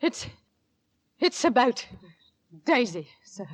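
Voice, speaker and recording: An anxious, lively-pitched Victorian landlady. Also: takes it slowly, no echo, heavily acted. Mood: fearful. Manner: nervous, hesitant, placating. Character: anxious, deferential Victorian London landlady and mother. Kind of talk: 1940s radio drama acting. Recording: vintage 1940s radio broadcast.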